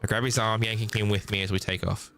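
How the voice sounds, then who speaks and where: A man's voice: lowish voice, flat delivery, fast talker, slight background noise. A man streaming a game, reading visual novel text aloud and dropping in casual, playful comments. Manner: playful asides, sung filler syllables. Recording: loud music behind the voice.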